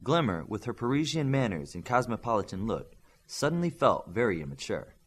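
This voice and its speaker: A man's voice: on the low side, big pitch swings, brisk, breathy edge. A man reading a scripted story aloud as an audiobook narrator.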